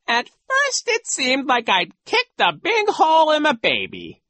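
A woman voicing a sly, villainous male gym coach in a storybook, smugly cruel, telling things in a drawn-out theatrical way with false regret. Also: sits high, wildly swooping pitch, pushed and bright, no room sound, voice pushed up, full character voice. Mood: gleefully cruel.

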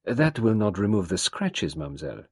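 A man voicing a dapper little foreign detective in a storybook reading, plaintive and fastidious. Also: on the low side, sing-song, husky, no echo, studio quality. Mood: mournful.

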